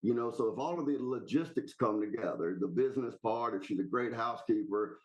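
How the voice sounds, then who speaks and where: A man's voice: mid-range voice, lively intonation, brisk, a little mellow, dull audio. Blunt folksy Southern man. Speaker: podcast caller. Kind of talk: casual opinionated chat.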